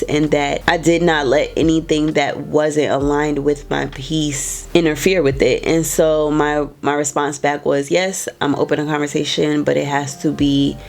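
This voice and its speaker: A woman in her twenties or thirties hosts her own talk show and speaks straight to her audience about personal matters. Her voice sits low for a woman and sounds a bit nasal and stuffy from a cold. She talks in an earnest, emphatic way, often repeating a phrase to drive a point home. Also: vocal fry. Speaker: podcast host. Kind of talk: casual reflective talk to viewers.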